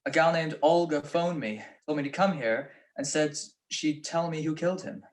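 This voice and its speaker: A man's voice: a little high, moderate pace, natural voice. A man playing a wisecracking, easygoing private detective in a radio-style mystery, with a wry, offhand manner. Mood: wry, groggy. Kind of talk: 1940s radio detective acting.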